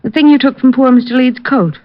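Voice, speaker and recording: A woman's voice: sits high, a little fast, close to an everyday voice. An actress playing a playful, pouting girlfriend who teases and coaxes in old radio drama style. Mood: coaxing, curious. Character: playful, pouting redheaded society girlfriend of a detective. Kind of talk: 1940s-50s radio drama acting. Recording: dull vintage radio recording.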